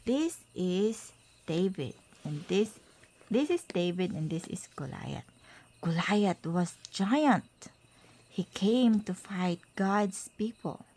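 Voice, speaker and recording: A woman reading a children's picture book aloud to a child, in simple, deliberate phrases with pauses. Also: medium pitch, warm tone.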